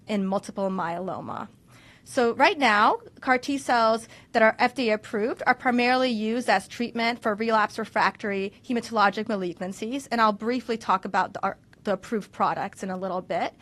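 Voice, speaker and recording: A woman's voice: medium pitch, fairly bright tone. A woman physician giving a medical webinar lecture, explaining step by step with frequent "so" and restarts; English is her second language after Russian. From United States. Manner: explanatory, many "so" fillers and restarts. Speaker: physician presenter.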